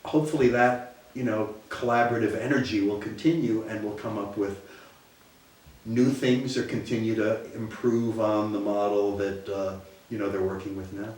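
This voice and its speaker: Male interviewee, lowish voice, halting and reflective, heavy echo, off the mic. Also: fairly even intonation, a little mellow. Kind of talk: reflective documentary interview talk. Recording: very echoey, distant from mic.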